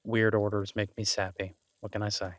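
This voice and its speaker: A close, dry recording of a man acting as a restaurant chef and devoted boyfriend in an audio roleplay. His voice sits on the low side, and he talks casually and haltingly, stammering into restarts, exasperated yet affectionate. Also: flat delivery, no room sound, close to an everyday voice. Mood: affectionate, tired. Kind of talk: intimate boyfriend roleplay, one-sided casual dialogue.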